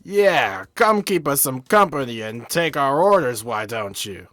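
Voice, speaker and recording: A man's voice: medium pitch, big pitch swings, measured pace, fairly bright tone, dry recording. A man playing a rude, lecherous drunken delinquent in a fan-dubbed visual novel.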